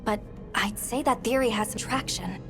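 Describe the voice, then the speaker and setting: An actress voicing a calm, thoughtful woman researcher in a video game, speaking in a measured, analytical way. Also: on the high side, natural voice. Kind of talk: video game cutscene dialogue. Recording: game audio with music.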